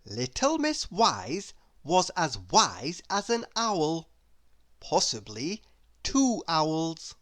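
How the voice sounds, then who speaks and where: A woman narrating a children's story in a measured, expressive read-aloud style. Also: sits low. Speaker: storybook reader.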